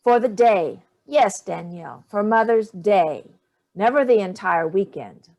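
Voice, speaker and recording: A woman's voice: mid-range voice, lively intonation, measured pace, darkish tone. A middle-aged woman playing a sardonic, wounded older mother in a staged play reading, with clipped, cutting delivery. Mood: sarcastic, cutting.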